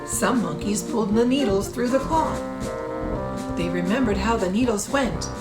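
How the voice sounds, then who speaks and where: A woman reading a children's storybook aloud in an expressive storyteller's way, with deliberate pauses. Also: mid-range voice. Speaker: author reading own storybook. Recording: roomy echo, loud guitar music. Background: guitar music.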